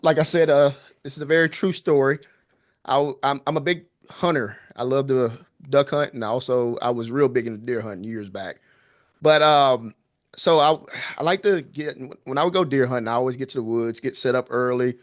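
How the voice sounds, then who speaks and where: A man guest on a podcast, telling a personal story in an easygoing, drawn-out way. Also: medium pitch. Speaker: guest, filmmaker. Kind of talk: casual storytelling.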